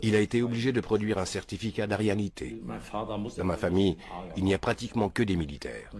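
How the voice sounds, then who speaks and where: Deep-voiced man, flatly sure of himself. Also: fast, a crowd in the background. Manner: matter-of-fact, assured. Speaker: interviewee. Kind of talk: interview answers.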